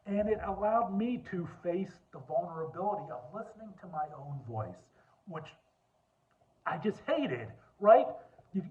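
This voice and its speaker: A man with a deep voice giving an earnest personal talk, telling his story and repeating points for emphasis. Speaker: speaker on lawyer wellbeing. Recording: tinny phone-like line.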